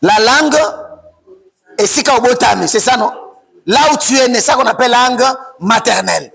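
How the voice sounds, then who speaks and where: A man preaching a sermon as pastor, shouting and sing-song, repeating phrases over and over. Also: very high-pitched, normal speed, vocal fry. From Democratic Republic of the Congo.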